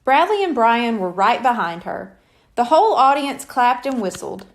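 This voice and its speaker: High-pitched, animated woman reading a children's story. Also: normal speed. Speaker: audiobook reader. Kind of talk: scripted children's story narration.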